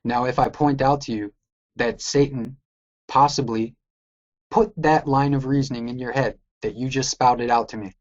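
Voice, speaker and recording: A man's voice: mid-range voice, steady tone, normal speed, highs cut off. A man in a heated debate, combative and repeating his challenges.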